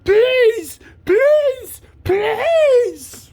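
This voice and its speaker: A man voicing a lovable, easily frightened furry monster in a children's storybook puppet show, with a raspy voice and melodramatic delivery. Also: very high-pitched, animated, a little mellow, heavily acted. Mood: terrified, begging. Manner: melodramatic, drawn-out, emphatic delivery without contractions.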